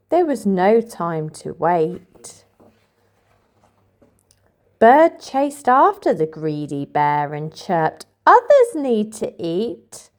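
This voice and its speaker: A woman reads a picture book aloud for small children. Her voice is fairly high and moves at a slow, measured pace. The delivery is expressive and sing-song, with big swings in pitch. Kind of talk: read-aloud storytelling for toddlers.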